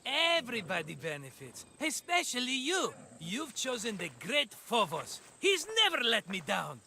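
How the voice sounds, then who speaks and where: A high, bright wheedling schemer. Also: male voice, sing-song, unhurried, vocal fry, a little hiss or hum, full character voice. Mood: coaxing. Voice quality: fussy, wheedling, hesitant. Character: chatty scheming middle-aged man, fussy and coaxing.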